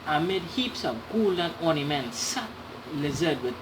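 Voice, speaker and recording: A woman reading a children's story aloud in an animated, dramatic way, with explanatory asides to young listeners. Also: deep voice, moderate pace, background noise.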